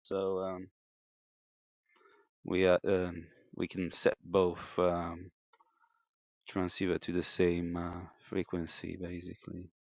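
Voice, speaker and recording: A man giving an unscripted, step-by-step technical demonstration in a hesitant, halting way, in a slightly muffled room recording. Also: sits low, monotone, creaky, a little mellow, no echo. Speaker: amateur radio operator demonstrating equipment.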